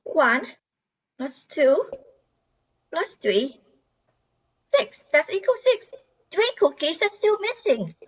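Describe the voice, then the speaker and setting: A woman's voice: very high voice, sing-song, slow pace, long pauses, full character voice. A woman playing a weary, whiny eight-year-old little sister in an amateur radio play. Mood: indignant. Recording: dull, muffled lo-fi sound.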